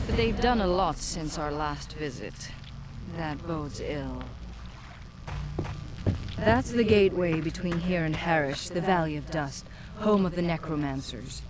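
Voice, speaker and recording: Seductive game demoness, low animated voice, teasing, scheming, noisy background. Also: female voice. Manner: teasing, scheming, coolly dismissive. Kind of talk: video game voice acting.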